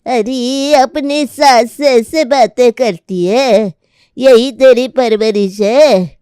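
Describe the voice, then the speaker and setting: A woman voicing a cranky old grandmother and sarcastic mother-in-law who scolds and complains in an animated family story. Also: on the high side, drawn-out words, clean tone, no echo, clean studio sound. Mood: scolding.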